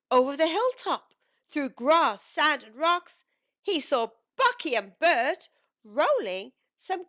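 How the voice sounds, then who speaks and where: A woman reading a rhyming picture book aloud for children in an expressive, deliberate way. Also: high-pitched, highs cut off.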